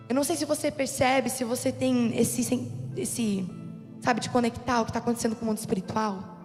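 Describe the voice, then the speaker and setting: A hoarse young woman preaching a sermon to a church youth group, chatty and calling out for amens. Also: fairly high pitch, a little mellow, long reverb tail. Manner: conversational, calls for amens. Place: church youth service hall.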